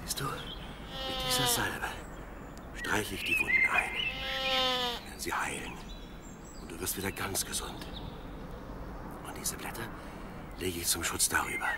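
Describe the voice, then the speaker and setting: An actor playing a plucky, devout young shepherd, the youngest brother, with earnest, dramatic delivery in an audio drama. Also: male voice, low voice, wildly swooping pitch, unhurried, long pauses, full character voice. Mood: relieved, tender. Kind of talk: German audio drama acting. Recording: clearly noisy radio play with sheep sounds.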